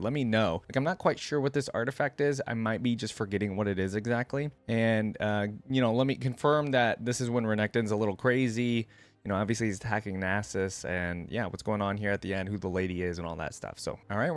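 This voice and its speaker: Low-voiced man giving casual reaction commentary. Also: dry recording. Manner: informal, thinking aloud. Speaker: host of a game reaction channel.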